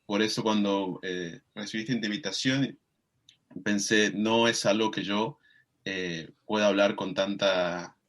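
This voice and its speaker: Low-voiced hesitant man. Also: fairly even intonation, a little slow, fairly bright tone. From Mendoza, Argentina. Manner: hesitant, many fillers and restarts, thinking aloud. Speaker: podcast guest. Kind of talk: casual reflective conversation.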